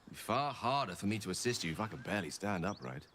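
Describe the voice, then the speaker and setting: An articulate wizard, lively. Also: male voice, sits low, fast talker, slight background noise. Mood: confiding. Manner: articulate, courteous, theatrical. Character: refined male human wizard, polite and secretive.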